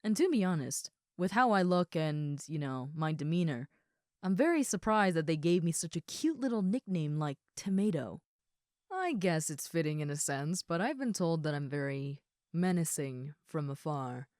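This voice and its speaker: A woman playing a big, friendly ogre roommate in an audio roleplay, chatting casually and gently to the listener. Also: low-pitched, expressive, moderate pace, no room sound, clean studio sound. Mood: friendly, teasing. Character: huge muscular red female ogre roommate, friendly and easygoing.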